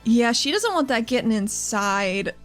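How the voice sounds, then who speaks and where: A woman's voice: on the high side, sing-song, unhurried. A woman chatting casually as a player in a tabletop roleplaying game, saying what her character does. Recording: music behind the voice. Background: background music.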